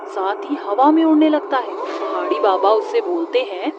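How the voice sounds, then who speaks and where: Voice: medium pitch, lively intonation, normal speed. Muffled storyteller reading a scripted horror tale. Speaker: storyteller, narrator. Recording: dull lo-fi audio, faint noise.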